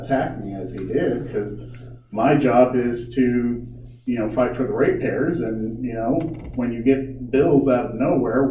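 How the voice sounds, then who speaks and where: A man's voice: mid-range voice, slight background noise. A man on a board defending himself in a public meeting, indignant and defensive. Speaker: board director. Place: meeting room. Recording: echoey room, distant muffled mic.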